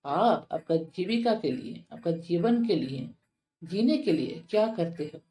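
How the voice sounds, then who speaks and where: A woman teaching spoken English, saying each phrase slowly twice and then explaining its meaning in Hindi. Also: lowish voice, expressive, mellow, low-quality recording.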